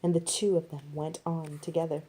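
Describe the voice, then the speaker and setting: A woman reads scripture aloud in an expressive storytelling style. Her voice sits a little low. The tone is soft-edged, dark and mellow. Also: moderate pace. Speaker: reader of scripture narrative.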